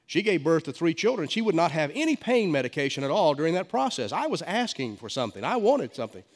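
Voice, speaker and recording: A middle-aged man preaching with a stuffy head cold, leaning on words and restarting phrases as he goes. His pitch is a touch high and swings widely, rising and falling with each point. The sound carries a long hall reverb, as in a church sanctuary. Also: gravelly. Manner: emphatic preaching with frequent stammered restarts. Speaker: pastor. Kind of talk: sermon.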